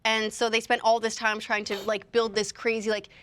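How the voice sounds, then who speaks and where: A woman's voice: a little high, little pitch movement, moderate pace, fairly bright tone, slight background noise. A woman talking casually as a panelist, opinionated, with sarcastic exaggeration. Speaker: panelist on a video game movie show.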